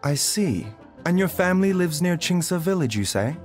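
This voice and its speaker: Casting: a courteous, soft-spoken doctor, lively intonation, light music underneath. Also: male voice, high voice. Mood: calm. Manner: polite, measured, formal. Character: gentle, courteous male doctor. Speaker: doctor, pharmacy owner. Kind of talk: video game voice acting.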